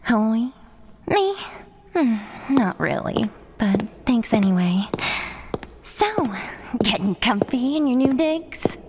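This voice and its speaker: A woman's voice: a little high, sing-song, slow, long pauses, a little mellow, slight background noise, heavily acted. A woman playing a playful, sadistic young metalhead villainess in a horror audio drama, theatrical, taunting and foul-mouthed. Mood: mocking amusement.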